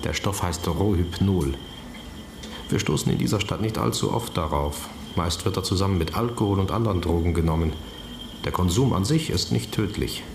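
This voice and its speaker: Factual forensic examiner, very low creaky voice, clinical, radio drama, echoey, noisy. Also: male voice, moderate pace. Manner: clinical, explanatory. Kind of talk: German radio crime drama acting.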